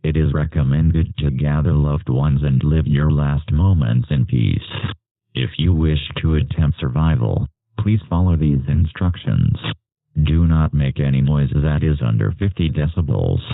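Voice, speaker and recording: A man with a gravelly, synthetic-sounding voice reads a scripted emergency alert in a flat, formal manner. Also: very deep voice, measured pace. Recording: echoey, narrow phone-like alert broadcast.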